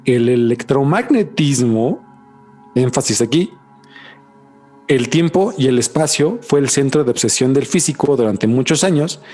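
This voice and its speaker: A man's voice: mid-range voice, unhurried, soft background music. A young Mexican man co-hosting a podcast, telling the story casually with lots of slang and filler. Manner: slangy, says güey constantly, animated storytelling. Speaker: podcast co-host. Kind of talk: casual chat.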